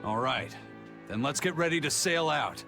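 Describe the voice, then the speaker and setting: An actor playing a steady, frank pirate first mate in a video game, plain-spoken and commanding. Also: male voice, on the high side, normal speed, creaky, music in the background.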